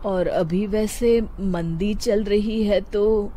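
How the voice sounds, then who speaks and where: A woman's voice: medium pitch, fairly even intonation, very slow, very noisy. A woman voicing a worried young husband who speaks mildly and hesitantly. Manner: hesitant, mild.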